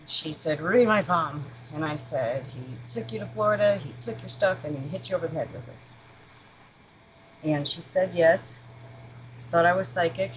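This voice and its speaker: A woman's voice: on the low side, slight background noise, rough recording. A middle-aged woman as an interview guest, talking casually and bluntly in a matter-of-fact way. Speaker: interview guest, palm reader. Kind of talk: casual interview, personal storytelling.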